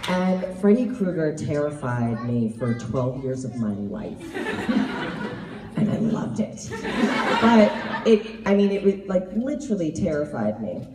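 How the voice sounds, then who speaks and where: Woman, 30s, a touch hoarse, animated laughing storyteller, slow and lively, captured far off in a busy echoing hall. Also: deep voice, noisy background. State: slightly hoarse. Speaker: convention panel guest. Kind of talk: convention Q&A answers. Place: large convention hall with audience. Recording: roomy hall recording from far off.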